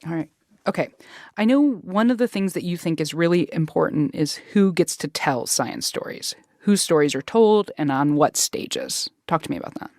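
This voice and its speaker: A woman's voice: sits low, animated, moderate pace, darkish tone, no room sound, clean studio sound. A woman hosting a casual podcast chat, asking questions informally and encouraging her guest. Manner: informal, encouraging listener interjections. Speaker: host, interviewer.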